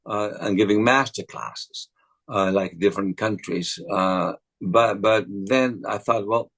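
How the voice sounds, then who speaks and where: A man's voice: on the low side, fairly even intonation, a little slow, gravelly, a bit bright, slightly rough. Hesitant Dutch-accented older man. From Netherlands. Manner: hesitant, many fillers and restarts. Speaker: acting coach and actor. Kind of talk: casual interview chat.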